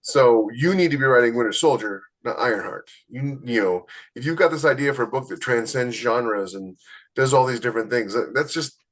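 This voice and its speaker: A man's voice: medium pitch, fairly quick, a bit bright. A man chatting casually as a podcast co-host, giving writing advice in a blunt, emphatic, opinionated way.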